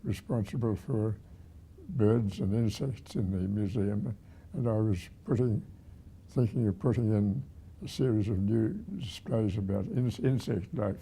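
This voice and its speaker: A man of about a hundred with a husky, aged voice, reminiscing haltingly as a retired museum director. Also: lowish voice, mellow, a little hiss or hum. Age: 100. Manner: halting, with repeated words and pauses.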